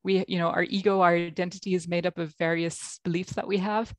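A woman's voice: fairly low pitch, little pitch movement, fairly quick, dry recording, studio quality. A woman from Belgium with an American accent, a guest coach on a video call, talking reflectively with many ums. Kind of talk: interview over video call. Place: home room.

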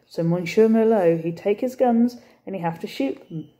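A woman's voice: mid-range voice, normal speed, mellow, lightly acted. A woman voicing a weary, sorrowful French cafe owner who speaks broken English with a French accent. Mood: mournful. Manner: broken English, mournful, emphatic. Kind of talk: storybook character voice.

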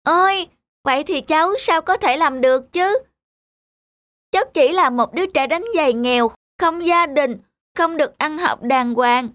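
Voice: high voice, normal speed, fairly bright tone, no room sound. A performer voicing a humble, polite young shoeshine boy in a cartoon, earnest and timid. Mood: timid, disbelieving. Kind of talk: animated moral tale dialogue. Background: background music.

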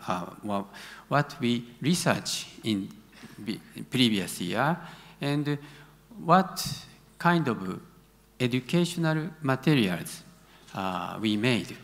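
A middle-aged man with a Japanese accent, a native Japanese speaker answering conference questions haltingly, searching for words. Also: mid-range voice, vocal fry, fairly bright tone. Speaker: conference presenter, university academic. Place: large conference hall stage. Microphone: gooseneck podium microphone. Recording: reverberant large auditorium.

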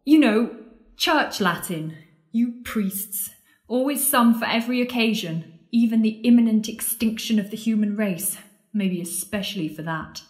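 A woman's voice: fairly high pitch, measured pace, close to an everyday voice. A woman playing a sardonic, frightened penitent at the world's end in an audio drama, babbling and joking wryly out of nerves. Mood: angry, sarcastic. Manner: babbling, wry, joking nervously. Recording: echoey room.

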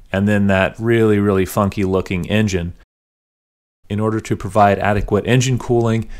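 A middle-aged man with a somewhat low voice talks through a voice-over in an easy, conversational manner. He sounds keen and relaxed, like a hobbyist host narrating his own video. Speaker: motorcycle channel host. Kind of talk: voice-over narration of a show walkthrough.

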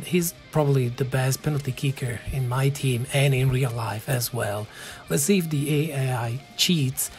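An Italian man in his thirties narrates his game footage in a steady, matter-of-fact voice-over. His pitch sits mid-range for a man, and faint music plays underneath. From Italy. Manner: calm, matter-of-fact play-by-play. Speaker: gaming channel host.

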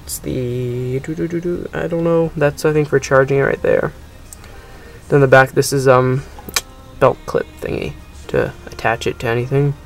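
A man's voice: medium pitch, steady tone, moderate pace, creaky, soft background music. A young man casually narrating a gadget unboxing, rambling and hesitant with many ums. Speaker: unboxing video host. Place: indoors at a table.

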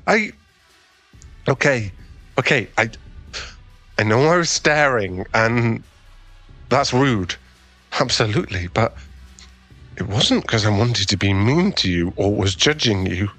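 A man's voice: on the low side, lively intonation, slow, pauses often, fairly bright tone, no echo, soft background music, heavily acted. A man playing an awkward, kind young gym owner with a crush in an ASMR boyfriend roleplay, speaking in an earnest, hesitant, stammering way. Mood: flustered, embarrassed.

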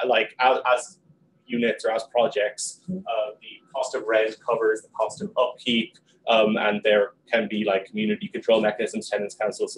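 A young man speaking quickly on a panel, with many ums and likes, in a small meeting room and recorded by a camera on a video call. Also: lowish voice, fairly bright tone, slight background noise, rough recording. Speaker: panel guest. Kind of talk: panel discussion.

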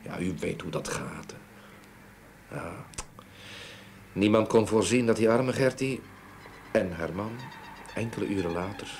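A man's voice: fairly low pitch, measured pace, pauses often, darkish tone, a little hiss or hum. An actor playing a genteel, middle-aged businessman, hesitantly reminiscing in an old radio drama. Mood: grieving, reminiscing. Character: melancholy, genteel middle-aged businessman recalling his murdered daughter.

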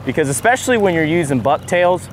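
Excited man narrating fishing from a boat. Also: a little high, fast talker, slight background noise, low-quality recording. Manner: excited, breaks into whoops and laughs while fighting fish. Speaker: fishing vlogger. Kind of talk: casual on-camera fishing narration. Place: open ocean, on a small boat outdoors.

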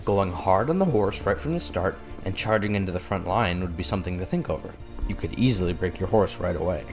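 A man playing an excitable, official-sounding race announcer in a dramatic manga fandub. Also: low voice, a little fast, music in the background. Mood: formal, explanatory. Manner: formal explaining that bursts into breathless excitement.

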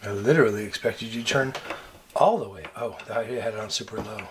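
A man's voice: lowish voice, a little fast. A middle-aged man chatting casually as he does hands-on DIY work. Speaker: DIY bus builder. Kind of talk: casual hands-on chat while working. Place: small converted bus interior. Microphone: camera mic from a short distance. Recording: noisy handheld camera audio with clatter.

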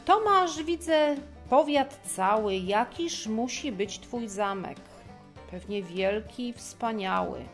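A woman's voice: a little high, lively intonation, slow pace, soft background music. A woman voicing a jovial, pompous king in a rhymed fairy-tale audio drama, with a grand, exclamatory delivery. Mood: impressed.

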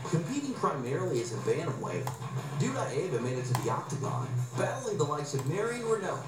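A man's voice: a little high, big pitch swings, fairly quick, echoey room, distant mic, loud music behind the voice. A man announcing a fight broadcast in scripted, dramatic narration, heard through a TV.

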